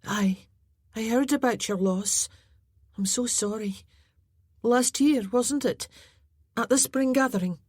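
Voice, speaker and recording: A woman voicing a fiery, proud young Highland woman with a sharp tongue, in an audiobook. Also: takes it slowly, pauses often, breathy edge, dry recording, studio quality. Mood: sympathetic.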